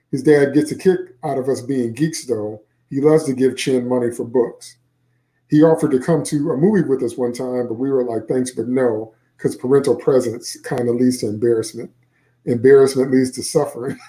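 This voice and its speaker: A man's voice: medium pitch, warm tone, roomy sound, close to an everyday voice, rough recording. A man voicing a smart-mouthed, geeky sixth-grade boy from a children's novel, chatting wryly through his rambling thoughts. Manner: chatty, wry, rambling inner monologue. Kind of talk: first-person children's novel read aloud.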